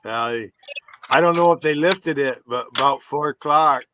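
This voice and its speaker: A man's voice: on the high side, unhurried, noisy background. A man in his fifties with a northern New York accent, hosting a livestream chat in a blunt, rambling way and swearing freely. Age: 50s. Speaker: livestream host. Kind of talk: casual chat.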